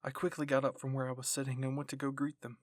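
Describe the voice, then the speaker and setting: A man reading a scripted first-person story in ASMR style, softly and in measured phrases. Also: medium pitch, monotone, dry recording. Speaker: narrator and reader.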